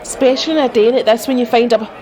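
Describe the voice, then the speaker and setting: A woman's voice: a little high, very fast, warm tone, a faint crowd, close to an everyday voice. An actress playing an affectionate, bossy, fussing mother in a radio drama, doting and teasing.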